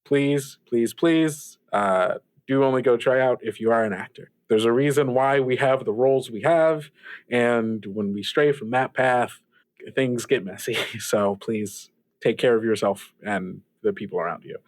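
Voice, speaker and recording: Man playing a halting radio DJ, close dry sound. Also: mid-range voice, dry recording, natural voice. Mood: chatty, nervous. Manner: halting, stammering, rambling. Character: hesitant, earnest small-town radio DJ man. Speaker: radio host. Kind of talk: casual radio show chat, improvised.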